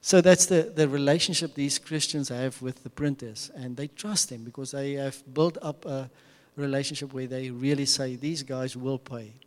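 A man's voice: medium pitch, fairly even intonation, moderate pace, few pauses, a little mellow. A man with an Afrikaans accent giving an earnest mission talk to a church, restarting phrases and chuckling now and then. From South Africa. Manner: earnest, repeats words and restarts, chuckles often. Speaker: mission speaker. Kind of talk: church mission presentation with slides. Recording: long hall reverb.

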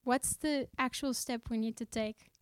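An earnest woman giving a sermon, her voice sitting a little high. She restarts phrases and drops in gentle asides. The sound is close and dry, with no room around it. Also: steady tone, measured pace, clean tone, dry recording. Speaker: preacher.

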